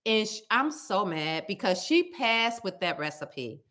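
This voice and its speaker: A woman with a loud, carrying voice, chatting casually to her viewers and telling stories with lots of emphatic repetition. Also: medium pitch, a little slow. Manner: animated storytelling, repeats words for emphasis. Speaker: cooking vlogger.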